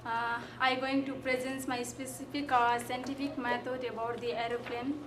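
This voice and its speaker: High-voiced Nepali-accented young woman presenting. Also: flat delivery. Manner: halting non-native English with fillers. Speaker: student presenter. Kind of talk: formal class presentation. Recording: echoey room with ambient noise.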